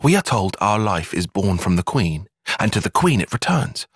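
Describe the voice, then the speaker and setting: A young army strategist in a video game, bit husky, really lively pitch, formal and brainy, earnest, easily exasperated. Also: male voice, moderate pace, no room sound, clean studio sound, heavily acted. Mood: measured, analytical. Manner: precise, formal, analytical wording. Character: young male strategist soldier, cerebral, earnest, quick to exasperation. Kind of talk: video game cutscene voice acting.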